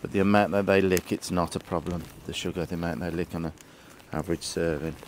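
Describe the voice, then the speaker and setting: A man's voice: low voice, fairly even intonation, fast talker. A man talks through his work with a horse in a step-by-step, practical way. He is recorded outdoors in a paddock. Faint background noise sits under his speech. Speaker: horse trainer. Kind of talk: explaining while working.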